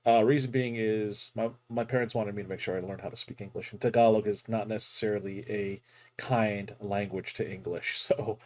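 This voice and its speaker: A man's voice: fairly low pitch, steady tone, moderate pace. Chatty young man reacting to a video. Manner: chatty, swears, laughs loudly. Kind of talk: casual reaction commentary.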